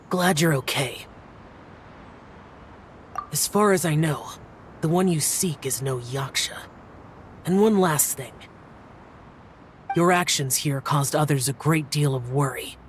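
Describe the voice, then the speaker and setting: Aloof stern immortal warrior, slow n terse, anime game, bit of background noise. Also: male voice, fairly high pitch. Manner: terse, formal, admonishing. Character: stern, aloof young male immortal guardian. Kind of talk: anime-style video game voice acting. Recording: faint background ambience.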